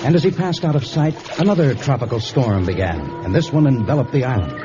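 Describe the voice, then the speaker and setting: A man's voice: medium pitch, expressive, fast talker. A man giving dramatic scripted narration for a vintage radio serial. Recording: dull vintage radio recording. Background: loud dramatic music.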